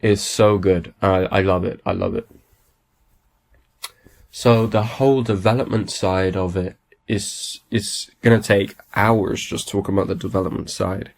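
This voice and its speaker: Male podcast host, low gravelly voice, raspy with a cold, eager and rambling. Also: fairly even intonation, a little slow. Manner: enthusiastic, rambling with many restarts. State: has a cold. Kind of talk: casual solo podcast talk.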